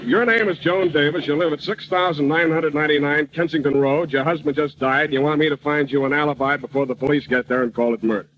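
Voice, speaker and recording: An actor playing a cocky, wisecracking hard-boiled private eye, narrating haltingly and deadpan in a radio parody. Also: male voice, fairly high pitch, close to an everyday voice. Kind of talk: old-time radio detective parody. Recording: muffled, noisy old radio broadcast.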